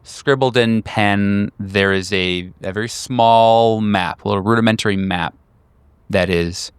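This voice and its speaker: Low voiced guy game mastering a horror rpg, descriptive, takes his time with phrases, super dry sound. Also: clear, resonant tone, no room sound. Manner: deliberate, descriptive, pausing between phrases. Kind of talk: narrating a horror tabletop game.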